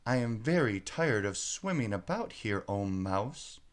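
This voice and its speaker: A man's voice: lowish voice, big pitch swings, unhurried. A man voicing a small, earnest, polite and fretful girl in a storybook reading. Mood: wondering, polite. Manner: earnest, wondering, apologetic.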